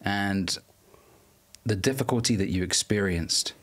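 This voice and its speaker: A man with a very deep voice hosts an interview podcast in a studio. He speaks earnestly and carefully, feeling his way into each question in a conversational style. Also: unhurried.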